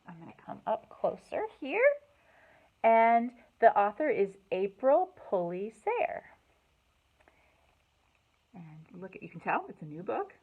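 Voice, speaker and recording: A woman hosting a children's storytime, reading aloud and chatting in an animated, encouraging way with simple words for young listeners. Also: medium pitch, slightly rough, phone-quality sound. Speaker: children's librarian and storytime host.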